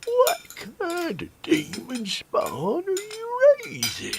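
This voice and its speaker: Cantankerous old grandpa, paranoid: high, creaky, swinging wildly in pitch, comedy audio drama. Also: female voice, wildly swooping pitch, takes it slowly, slight background noise, exaggerated voice. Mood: suspicious outrage. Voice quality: creaky, cracking.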